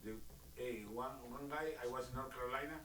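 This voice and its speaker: A man's voice: medium pitch, a little fast, fairly bright tone, echoey room, a little hiss or hum, rough recording. A middle-aged man whose first language is Spanish, speaking broken English in an insistent, emphatic casual chat.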